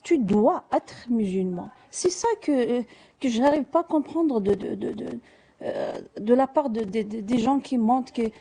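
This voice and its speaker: A woman's voice: on the high side, animated, moderate pace, mellow, breathy edge. A woman giving personal testimony in a live discussion, hesitantly searching for words and repeating small ones. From Algeria. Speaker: live audio discussion participant.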